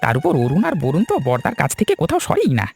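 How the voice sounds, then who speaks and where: A man voicing a bossy, scheming middle-aged village farmer in a cartoon, talking in colloquial family speech with drawn-out interjections. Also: fairly high pitch, wildly swooping pitch, a little mellow, soft background music. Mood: wheedling. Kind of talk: cartoon dialogue.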